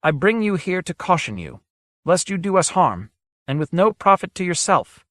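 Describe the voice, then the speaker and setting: A man's voice: high voice, sing-song, normal speed, fairly bright tone, no room sound, clean studio sound, pitched above the performer's own voice. A man voicing an aloof ancient sage. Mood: solemn reproach. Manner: solemn, formal and reproachful. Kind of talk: audiobook character voice.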